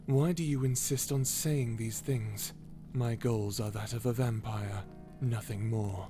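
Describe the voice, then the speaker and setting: Low-pitched male vampire, acted out by a performer, edgy, curt, cold, tsundere, ASMR roleplay, music underneath. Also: steady tone, a little slow, natural voice. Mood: curt, guarded. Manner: curt, dismissive, defensive. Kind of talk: ASMR roleplay character acting.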